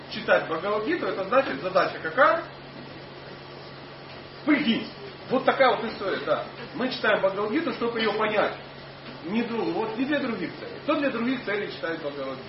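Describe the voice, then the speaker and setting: A man with a foreign accent, not a native speaker, lecturing an audience on scripture in a loose, halting way with many fillers and restarts. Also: sits high, big pitch swings. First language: not Russian. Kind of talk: informal religious lecture to an audience. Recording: echoey hall, mic at a distance, dull sound, noisy.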